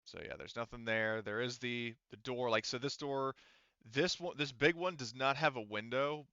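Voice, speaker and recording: Bright-voiced man running a tabletop game. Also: mid-range voice, normal speed, smooth, dry recording, dull audio. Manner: informal, conversational, hedging explanations. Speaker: game master. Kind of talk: casual tabletop game narration.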